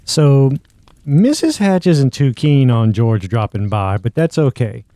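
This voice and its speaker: A man's voice: medium pitch, very animated, measured pace, warm tone, no echo. A man hosting a podcast, recapping a story in a casual, conversational way. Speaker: podcast host. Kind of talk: casual plot recap narration.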